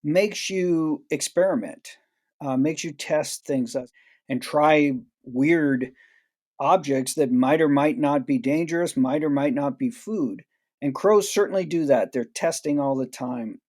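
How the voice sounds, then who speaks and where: A man speaks as a guest in an interview, explaining his ideas reflectively and often restarting phrases. His voice is a touch high for a man, with a warm, mellow tone. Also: a little slow. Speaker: guest expert. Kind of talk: interview answers.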